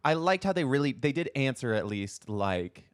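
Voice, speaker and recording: A man's voice: medium pitch, very animated, normal speed, clear, resonant tone, no room sound, clean studio sound. A man co-hosting a podcast, chatting in an informal, conversational way. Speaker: podcast co-host. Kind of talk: casual chat.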